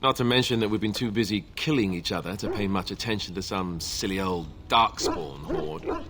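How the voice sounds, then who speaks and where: An actor playing a wry, earnest, boyish warrior companion in a fantasy video game, with an informal way of talking. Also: male voice, sits low, normal speed, a loud crowd, lightly acted. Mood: wry, doubtful.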